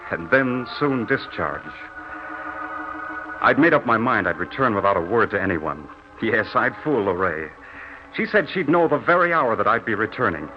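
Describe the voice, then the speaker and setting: An actor playing an earnest, lovestruck young soldier home from war, in theatrical old-time radio drama style. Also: male voice, mid-range voice, muffled recording, natural voice. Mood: nostalgic, expectant. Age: young adult. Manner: theatrical, drawn-out, dramatic delivery. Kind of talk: old-time radio drama acting, narrated first person. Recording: noisy, band-limited old radio recording.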